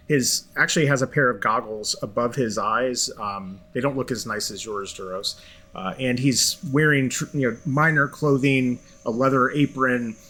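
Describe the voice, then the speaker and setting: Brief: male game master, mid-pitched, halting narration with fillers. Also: pushed and bright. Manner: halting, many fillers and restarts.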